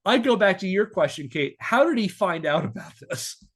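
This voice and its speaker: A man hosting a podcast, telling a researched story to friends in a partly scripted, measured way. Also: high voice, expressive, a bit bright, husky. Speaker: podcast host.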